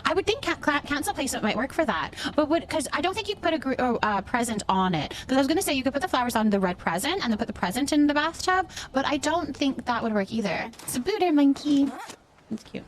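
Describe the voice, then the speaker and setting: Woman, high-pitched voice, game livestreamer, casual chat, chatty, thinking aloud. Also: lively intonation, quick pace, slight background noise.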